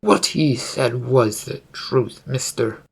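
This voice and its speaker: Performer as a gruff storybook captain, curt, formal, defensive, husky, creaky voice. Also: medium pitch, expressive, slow, exaggerated voice. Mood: angry.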